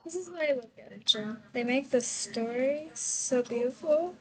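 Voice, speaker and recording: A woman's voice: high voice, measured pace, fairly bright tone. A woman giving casual gameplay commentary as a game streamer, in a gushing, sentimental manner.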